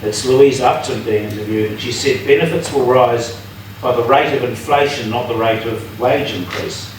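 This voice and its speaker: A man's voice: medium pitch, fairly even intonation, normal speed, recorded from a distance, a little hiss or hum. New man pressing a question, echoey hall. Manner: pointed, reading from notes. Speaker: audience member asking a question. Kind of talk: public forum question.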